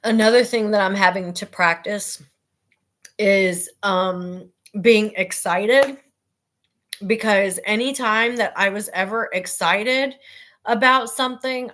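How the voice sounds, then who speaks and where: A mother who hosts her own show, speaking earnestly in a naturally loud voice, with frequent pauses and fillers as she talks about her life and book. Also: mid-range voice. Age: adult with children. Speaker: host.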